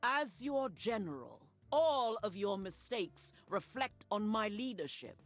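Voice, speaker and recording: A middle-aged warlord general from a video game, imperious and ruthless. Her commanding lines are measured but swing widely in pitch, full of menace, with music underneath. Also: very animated, dry recording. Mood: stern, commanding. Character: proud, ruthless warlord general and fiercely protective mother.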